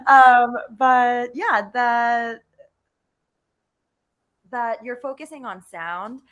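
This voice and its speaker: A woman talking casually as a panelist on a video call, chatty and halting, with giggly asides. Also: on the high side, very animated, fairly bright tone. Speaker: playwright panelist.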